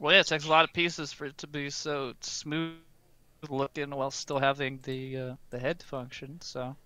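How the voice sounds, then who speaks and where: A man's voice: medium pitch, level tone, moderate pace, sharp tone, no room sound. A man chatting casually as a podcast panelist, circling his way hesitantly through his phrases. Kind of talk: casual chat.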